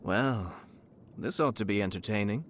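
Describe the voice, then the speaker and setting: A man voicing a composed young lord and clan leader in a video game, speaking in a polite, refined, quietly amused manner. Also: fairly low pitch, moderate pace, slight background noise, lightly acted. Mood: polite, intrigued. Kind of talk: video game character voice acting. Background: background music.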